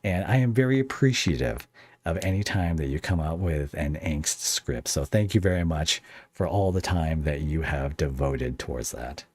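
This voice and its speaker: Low-voiced man hosting a casual interview. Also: normal speed, slightly rough, dry recording. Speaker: podcast host and interviewer.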